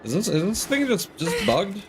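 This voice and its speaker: A man's voice: high voice, wildly swooping pitch, moderate pace, over loud music. A man giving casual live commentary as he streams a video game, thinking aloud hesitantly.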